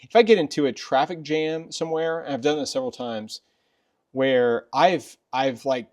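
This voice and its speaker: Male host, middle-aged, medium pitch. Conversational, informal review delivery that circles back over phrases. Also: normal speed. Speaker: video host. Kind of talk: casual product review chat.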